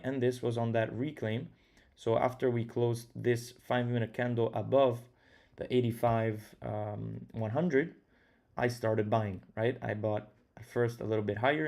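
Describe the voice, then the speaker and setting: A man's voice: medium pitch, vocal fry, a little mellow. A young man with an Italian accent hosting a live trading stream from home, talking casually and thinking aloud with fillers. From Italy. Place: his home.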